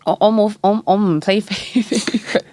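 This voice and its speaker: A young woman with a Hong Kong accent chatting casually as an interview guest, animated and mixing English words into her speech. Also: mid-range voice, normal speed, no room sound, studio quality. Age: young adult. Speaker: guest, freelance stage and screen actor.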